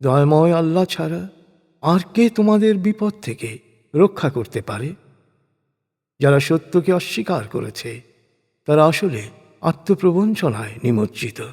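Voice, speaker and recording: A man giving a solemn, measured reading of translated scripture in short, declaimed phrases. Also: a little high, sing-song, a little mellow, husky. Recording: long reverb on the voice.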